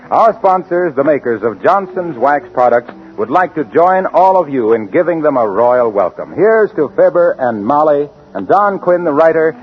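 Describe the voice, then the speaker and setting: Higher-voiced 1940s male radio announcer. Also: slight background noise. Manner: polished, formal salesmanship. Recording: muffled 1940s radio broadcast.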